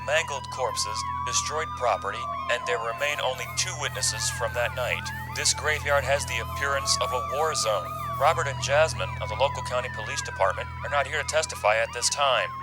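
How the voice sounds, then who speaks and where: A man's voice: medium pitch, huge pitch swings, brisk, hardly any pauses, loud music behind the voice. A man playing a grave, formal news reporter delivering an emphatic crime bulletin in an audio drama. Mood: grave, alarmed. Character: grave male crime reporter at a disaster scene.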